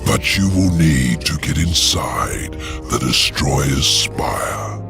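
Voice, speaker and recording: An actor playing a proud, secretive imprisoned demon lord in a video game cutscene, theatrical and commanding. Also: male voice, rumbling low, animated, takes it slowly, over music, full character voice. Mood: cunning, commanding.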